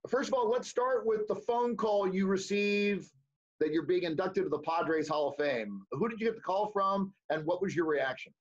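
A middle-aged man conducts a conversational sports interview over a video call. His voice is high for a man, and the audio is dull and lo-fi. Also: normal speed. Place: home living room on a video call. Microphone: video call microphone.

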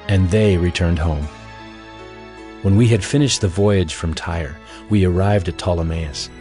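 A man narrating a dramatized audio Bible as measured, scripted storytelling. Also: low-pitched, darkish tone.

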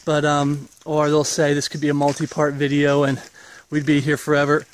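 A man in his forties with a slightly high voice talks into a handheld camera held at arm's length as he walks an outdoor trail. He gives a loose, rambling update in an earnest preaching tone while getting over an illness. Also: completely flat delivery, moderate pace, a little hiss or hum. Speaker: ministry leader. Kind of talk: walk-and-talk video update. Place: outdoors on a wooded path.

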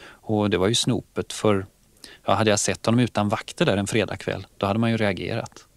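A man's voice: lowish voice, steady tone, vocal fry, no room sound. A man reading out a police officer's first-person account in a conversational way for a radio programme. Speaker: reader of a police officer's testimony. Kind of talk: read-out first-person testimony in conversational manner.

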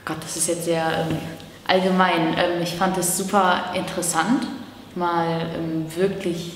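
An eighteen-year-old woman from Germany chats through her answers in long, filler-laden sentences. Her voice is slightly low for a woman. She sounds a little distant from the mic, in a bare room with strong echo. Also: level tone, a little slow, a little hiss or hum. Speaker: camp participant. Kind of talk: casual interview answers. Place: indoor room against a bare wall. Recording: distant, echoey room with reverb.

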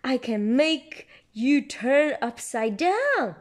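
A curious little piglet girl from a picture book, voiced by a woman reading aloud. She is excitable and childlike, with a high, swooping pitch and drawn-out words. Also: full character voice. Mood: excited.

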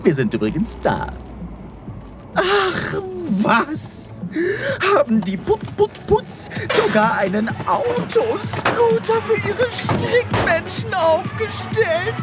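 High, wildly animated, gushing chicken official. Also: female voice, takes it slowly, loud music behind the voice, full character voice. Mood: gushing, delighted. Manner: effusive, stammering, clucking over words. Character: gushing, overeager chicken health official, devoted to knitting creatures. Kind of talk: comic sci-fi radio play acting.

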